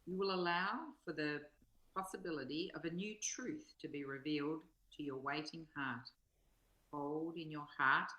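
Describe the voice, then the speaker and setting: A woman speaking in a measured, reflective way, as in a spiritual reading and study conversation. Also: low-pitched, bright, forceful tone. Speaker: co-presenter reading and discussing a spiritual text. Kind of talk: slow read-aloud and reflective study conversation. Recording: roomy, echoing sound.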